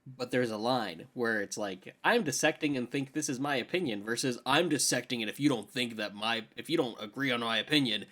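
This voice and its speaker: A man's voice: mid-range voice, fairly quick, hardly any pauses, a bit bright. Young man bantering on a podcast. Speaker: podcast co-host. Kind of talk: casual banter about films.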